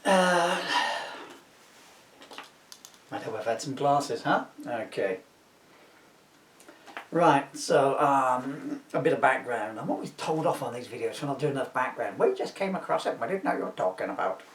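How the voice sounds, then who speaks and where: A man's voice: medium pitch, animated, moderate pace, a little hiss or hum. A middle-aged man chatting informally and reading from his own children's book, with jokey, rambling asides. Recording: roomy echo, distant microphone.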